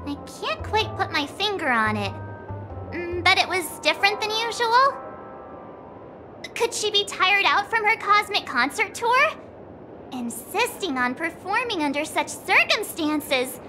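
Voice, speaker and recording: A very high, chirpy teenage game heroine. She is dramatic and chatty, swinging widely in pitch while keeping an unhurried pace over clear background music. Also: sing-song, heavily acted. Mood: puzzled, concerned. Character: bubbly, dramatic, excitable teenage girl adventurer. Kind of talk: animated video game voice acting.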